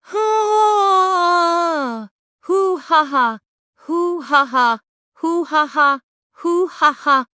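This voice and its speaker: A woman's voice: very high voice, drawn-out words, sharp tone, dry recording, clean studio sound, exaggerated voice. A woman playing a defiant, taunting female bird in an audio play, speaking in a declamatory way. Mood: mocking. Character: defiant, mocking female bird, disillusioned former propagandist. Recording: music behind the voice.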